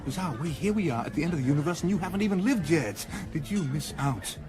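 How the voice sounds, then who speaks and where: A man's voice: on the high side, expressive, fast, natural voice. A man playing a cocky, worldly cosmic socialite in a radio comedy, hip, casual and swaggering. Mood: breezy, boastful. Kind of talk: radio comedy drama acting. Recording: music behind the voice. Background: music.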